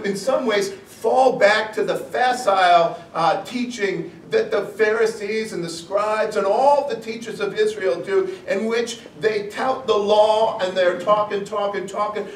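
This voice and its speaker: Animated priest preaching in an echoey hall. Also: male voice, high-pitched, distant mic, slight background noise. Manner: conversational, animated preaching with humor. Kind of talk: sermon. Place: parish hall with congregation. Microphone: far camera.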